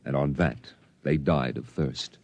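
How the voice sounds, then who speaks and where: A man playing a somber storyteller recounting his boyhood on Mars, in measured, dramatic first-person narration for a vintage radio drama. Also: extremely low pitch, gravelly, darkish tone, no echo, heavily acted. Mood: weary, grave. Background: dramatic music.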